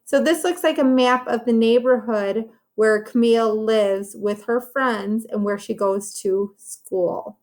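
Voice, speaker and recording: Female teacher for a children's read-aloud: higher-pitched, unhurried, simple instructive wording with encouraging asides. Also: steady tone, a little mellow. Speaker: teacher reading a story to children. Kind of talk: read-aloud lesson for young children, with commentary on pictures.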